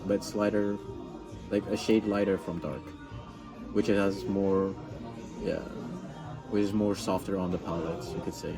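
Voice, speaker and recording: A young man with a Flemish accent and a lowish voice explains local things in a loose, chatty way, dotting his speech with "like" and "uh". Loud music plays behind him. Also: little pitch movement, moderate pace, long pauses, poor audio. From Flemish part of Belgium. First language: Dutch. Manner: chatty, frequent "like" and "uh" fillers. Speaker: local guide. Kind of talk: casual explaining chat.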